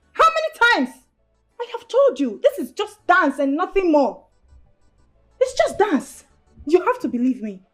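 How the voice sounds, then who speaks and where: A woman's voice: warm tone, husky. A woman with a Nigerian accent playing a fiery young woman who angrily confronts her jealous boyfriend in a comedy skit. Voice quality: shrill, indignant.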